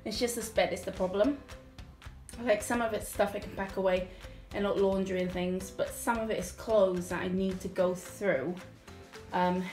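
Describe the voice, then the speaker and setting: A woman's voice: medium pitch, a little mellow. A woman in her thirties chatting casually to her phone camera at home while tidying, rambling with friendly asides to viewers. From United Kingdom. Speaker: home cleaning vlogger. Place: small home, bedroom and hallway. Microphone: handheld phone camera at arm's length.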